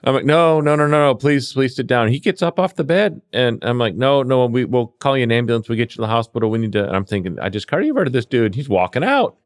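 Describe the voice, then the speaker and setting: A man's voice: mid-range voice, fast talker, few pauses. A middle-aged man telling casual anecdotes as a podcast guest, acting out bits of dialogue as he goes. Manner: animated re-enacting of dialogue. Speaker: podcast guest, veteran EMS worker.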